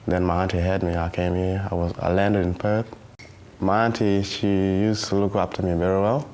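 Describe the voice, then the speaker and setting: A young man of nineteen speaks in a low, level voice. He talks haltingly, with many fillers and restarts. Faint noise sits behind him. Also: little pitch movement, darkish tone. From South Sudan. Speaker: documentary interviewee. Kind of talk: personal testimony in a youth documentary.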